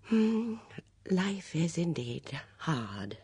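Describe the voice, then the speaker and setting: A woman reading aloud voices a gentle, shrewd elderly spinster sleuth, speaking softly and in measured phrases. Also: a little high, huge pitch swings, husky, no room sound, pitched above the performer's own voice, full character voice. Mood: sympathetic.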